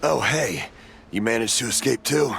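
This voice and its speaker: An actor playing a cautious, agreeable male refugee in a video game, with a gravelly voice and casual delivery. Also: medium pitch, expressive, moderate pace, a bit bright. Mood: cautious, friendly. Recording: faint game music behind.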